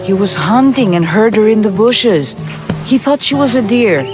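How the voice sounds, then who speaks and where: An actress playing an earnest, coaxing temple priestess of ancient Greece in a video game. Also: female voice, medium pitch, lively intonation, moderate pace, music in the background, close to an everyday voice. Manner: earnest, storytelling. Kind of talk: video game cutscene acting.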